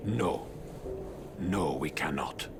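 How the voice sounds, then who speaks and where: A man voicing a wise, worried old rat father and master in a video game cutscene, speaking in a grave, formal, measured way. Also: extremely low pitch, expressive, gravelly, noisy background, exaggerated voice. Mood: grave concern.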